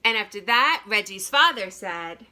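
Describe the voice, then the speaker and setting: A woman reading a children's story aloud like a teacher, deliberate and expressive, with dramatic pauses. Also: medium pitch.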